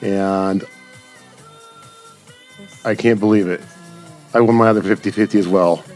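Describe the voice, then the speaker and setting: Casting: low-voiced male gaming host, chatty and rambling, with game music behind him. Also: fairly even intonation, unhurried, a little mellow. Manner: rambling, addresses viewers directly. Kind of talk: casual gameplay commentary.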